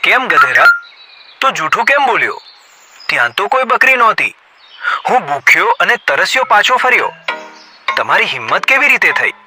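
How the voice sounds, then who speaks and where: A man plays a bullying lion king for a children's cartoon. The voice sits fairly high and swings widely in pitch, full of hungry menace and bluster, over a clear music bed. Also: sing-song, moderate pace, pauses often. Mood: angry. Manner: threatening, blustering. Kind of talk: animated children's story voice acting.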